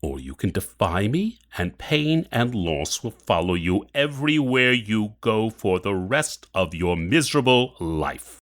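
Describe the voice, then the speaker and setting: Cold audio drama villain: formal, measured, mocking, with widely swinging intonation. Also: male voice, fairly low pitch, very animated, fairly bright tone. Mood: cold menace. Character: menacing, cold, mocking villain, adult man.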